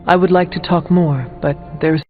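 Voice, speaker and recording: An actor playing a stern, resolute warrior hero in a video game, with a formal, blunt and solemn way of speaking. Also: male voice, fairly high pitch, fairly even intonation, normal speed, close to an everyday voice. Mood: grave, insistent. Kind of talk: video game character dialogue. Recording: thin phone-like audio, music behind.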